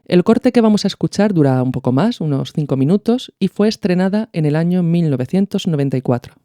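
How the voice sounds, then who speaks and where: A male podcast host with a fairly high, soft-edged voice, explaining and introducing material. The sound is very dry, with hardly any room around it. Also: lively intonation, a little fast, no echo.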